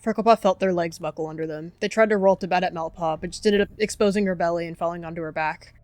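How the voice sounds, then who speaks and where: A woman's voice: on the low side, steady tone, fast, a little mellow, dry recording, faint music behind. A woman narrating characters' actions in a descriptive roleplay game.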